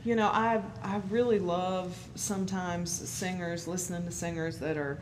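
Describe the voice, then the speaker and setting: A woman singer in casual conversation, agreeable and quick to laugh, saying yeah often. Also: fairly low pitch, steady tone. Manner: agreeable, many yeahs and small laughs. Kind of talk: casual interview chat. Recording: noisy background.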